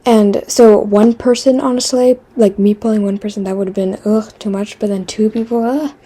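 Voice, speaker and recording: Chatty teenage girl from Quebec, soft husky voice. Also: medium pitch, steady tone, moderate pace. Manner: chatty, self-deprecating, many fillers and restarts; drops into a whisper for asides. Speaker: vlogger. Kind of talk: rambling casual chat.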